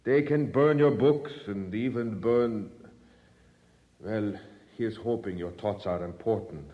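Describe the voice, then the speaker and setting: An actor playing a gruff, sardonic jailer in a radio drama, with a wry, casual manner. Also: male voice, fairly low pitch, takes it slowly. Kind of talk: old radio drama acting. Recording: dull old radio recording.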